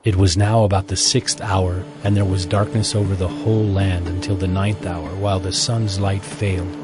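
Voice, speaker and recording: A man narrating a dramatized scripture audiobook in a measured, solemn, articulate way. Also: sits low, level tone. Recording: background music under narration.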